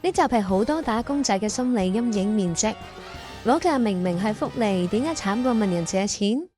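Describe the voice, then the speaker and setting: A woman's voice: mid-range voice, animated, normal speed, warm tone, faint music behind. A woman hosting and narrating a comic radio drama in a playful, exaggerated storytelling style.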